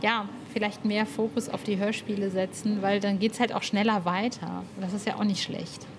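A woman's voice: medium pitch, little pitch movement, normal speed, background noise. A woman interviewing in a casual, engaged way, searching for words as she builds her point. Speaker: podcast interviewer.

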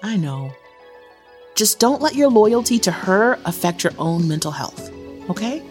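An actress playing a caring, self-assured girlfriend, playful and gently bossy in naturalistic audio drama dialogue. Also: medium pitch, big pitch swings, unhurried, soft background music.

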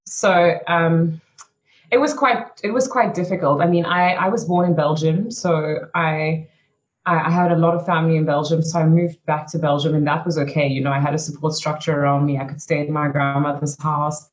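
A woman's voice: low-pitched, fairly even intonation, moderate pace, lo-fi sound. Chatty young woman recounting her story. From South Africa. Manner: chatty and frank, many "you know" and "like" fillers. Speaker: racing driver, interview guest. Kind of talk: candid storytelling in an interview.

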